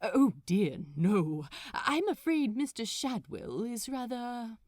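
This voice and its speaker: A woman's voice: on the high side, expressive, slow, a little mellow. A woman voicing an anxious, polite, proper man who speaks hesitantly in a fan-fiction reading. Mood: hesitant, awkward. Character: flustered, fretful, politely proper man, Aziraphale.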